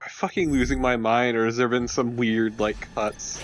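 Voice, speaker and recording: A man's voice: mid-range voice, steady tone, moderate pace. A man giving casual, joking and sweary co-commentary on a video game. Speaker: let's play co-commentator.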